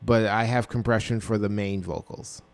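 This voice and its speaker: A man in his twenties with a low voice, casually walking through his own song mix with plenty of ums and self-corrections, recorded on a dynamic mic in a small home studio. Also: moderate pace. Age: 20s. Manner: relaxed, rambling, full of ums and self-corrections. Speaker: music producer. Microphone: dynamic broadcast mic.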